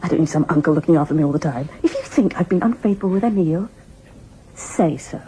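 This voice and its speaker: A woman's voice: sits low, lively intonation, fast talker, dark tone, a little hiss or hum, rough recording. A defiant young woman, swooping expressively. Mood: defiant, angry. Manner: emotional, swings between coy and indignant. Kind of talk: audio drama acting.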